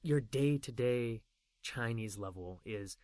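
A man's voice: medium pitch, slow, a little mellow, dry recording, clean studio sound. A man hosting an interview, teasing his guest and praising him effusively. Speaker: podcast host. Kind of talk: casual interview.

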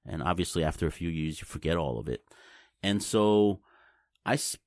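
Guest, man, very low voice, halting explainer, dry close sound. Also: animated, no echo. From Taiwan. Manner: halting, repeats words, explains simply. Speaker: guest, blockchain company representative. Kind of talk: casual interview chat.

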